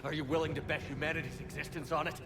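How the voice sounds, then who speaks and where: A man's voice: a little high, level tone, rapid-fire, background noise, lightly acted. A man playing a resolute, principled soldier commander, firm and earnest, in a dramatic video game scene. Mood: urgent, earnest.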